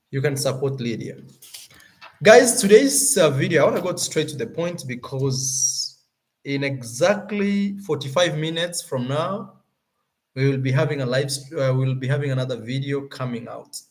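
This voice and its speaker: A young Kenyan man with a Kenyan accent, talking casually to his viewers as a YouTuber, animated and often searching for words. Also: on the high side. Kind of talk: casual vlog talk to camera.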